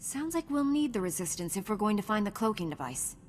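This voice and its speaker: A woman's voice: medium pitch, very animated, a little fast, darkish tone, soft background music, natural voice. An actress voicing a resolute, self-assured female Jedi Knight in a video game, cool in manner.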